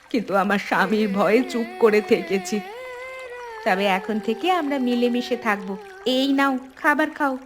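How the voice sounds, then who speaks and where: A kindly village wife from a cartoon drama, acted by a woman. She speaks earnestly and with feeling at a medium pitch, with music playing behind her. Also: close to an everyday voice. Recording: music bed under the voice.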